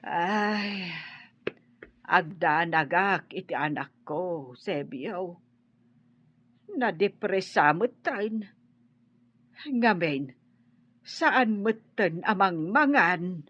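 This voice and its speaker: A woman's voice: on the low side, expressive, drawn-out words, pauses often, fairly bright tone, full character voice. An actress playing a worried middle-aged mother in a radio drama. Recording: muffled lo-fi audio.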